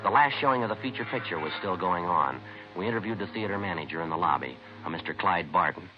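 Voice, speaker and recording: An actor playing a terse, matter-of-fact American police detective sergeant in a 1950s radio crime drama, clipped and deadpan. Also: male voice, low-pitched, fast. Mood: deadpan, factual. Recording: muffled old radio recording, music behind.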